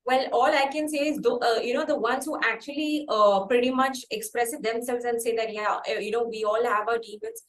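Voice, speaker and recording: A woman with an Indian accent hosting a conversational interview in wordy, measured phrases with pauses. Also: a little high, low-quality recording. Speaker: podcast host.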